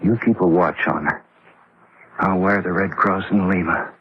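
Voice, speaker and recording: An actor playing a grave, knowing doctor at a jungle outpost in an old radio horror drama, explaining in a measured, serious way. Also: male voice, low-pitched, steady tone. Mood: somber, uneasy. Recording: noisy, muffled old radio drama recording.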